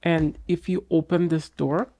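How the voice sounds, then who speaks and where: Raspy young man reviewing gear. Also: fairly high pitch, flat delivery, a little slow, mellow, no echo. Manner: enthusiastic, talks straight to camera, many fillers. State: getting over a cold. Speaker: tech reviewer. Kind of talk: casual product review. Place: small home studio room. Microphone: boom mic on arm.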